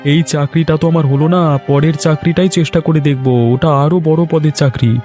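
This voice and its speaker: Man voicing an earnest, high-pitched young son. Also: fairly even intonation, a little fast, mellow, natural voice. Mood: reassuring. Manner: reassuring, earnest. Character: caring, determined young village son, high-voiced. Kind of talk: cartoon story acting. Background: music.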